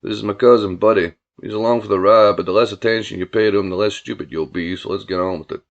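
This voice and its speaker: A man's voice: fairly low pitch, fairly even intonation, fast, dull audio, voice pushed down, natural voice. A man voicing a swaggering, menacing gang boss in an audiobook, with a domineering, mock-courteous Southern drawl. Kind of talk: audiobook character voice.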